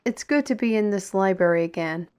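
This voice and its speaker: A woman's voice: medium pitch, animated, fairly quick, darkish tone, clear, resonant tone, close to an everyday voice. A woman playing a defiant, sardonic young artist in a fantasy audio drama, with a pointed, sarcastic delivery. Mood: wry.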